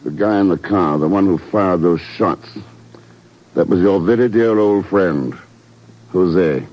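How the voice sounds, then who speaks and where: An actor playing a cool, wry agent in charge in a 1950s radio drama, dry and unruffled, on a noisy old recording. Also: male voice, low-pitched, a little slow, highs cut off. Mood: matter-of-fact.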